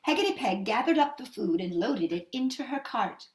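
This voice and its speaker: A woman's voice: fairly high pitch, lively intonation, normal speed, roomy sound, a little hiss or hum. A woman reading a children's story expressively, with dramatic, emphatic phrasing.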